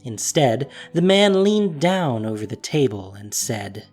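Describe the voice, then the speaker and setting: Expressive audio drama narrator. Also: mid-range voice, slow, slightly rough, soft background music. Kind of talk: scripted story narration.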